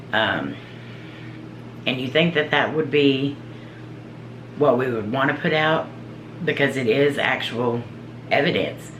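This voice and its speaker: A woman with a deep, low voice, a paranormal investigator telling her own story in a casual, earnest, halting way. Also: fairly bright tone, a little hiss or hum. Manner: earnest, halting, searching for words. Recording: roomy echo.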